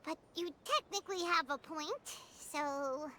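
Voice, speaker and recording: Casting: tiny excitable fairy sidekick for a game, childlike squeak, swooping and very high, blunt but cute. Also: female voice, measured pace, a little hiss or hum, heavily acted, rough recording. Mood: doubtful. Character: tiny childlike floating fairy girl companion, excitable and earnest. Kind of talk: animated game voice acting. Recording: music behind the voice.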